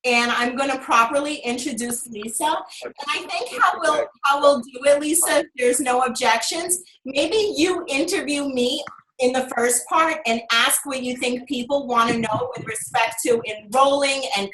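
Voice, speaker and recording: A woman's voice: high voice, normal speed, fairly bright tone, echoey room, low-quality recording. A woman hosting a conference call, earnestly and effusively introducing a guest. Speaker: call host. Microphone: phone or computer on a conference call.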